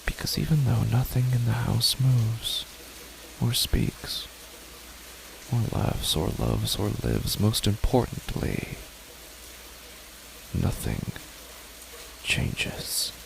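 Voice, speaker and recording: A man voicing a grieving parent alone in a dark, silent house, speaking in a slow, hushed, dreamlike monologue for a horror story. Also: medium pitch, creaky, background noise, voice pushed up. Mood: eerie, hushed. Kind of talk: first-person horror narration.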